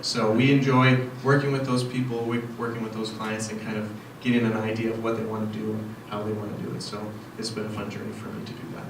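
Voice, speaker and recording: A man who grew up in Saudi Arabia, a financial adviser speaking on a panel in an even, measured way. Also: lowish voice, background noise. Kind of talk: panel introduction. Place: meeting room. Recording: very echoey room from far off.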